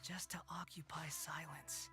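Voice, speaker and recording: A man's voice: a little high, little pitch movement, a little slow, close to an everyday voice. A man voicing an aloof, disdainful young male wanderer in a video game, haughty and dismissive. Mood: cold disdain. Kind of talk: video game character acting. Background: game music.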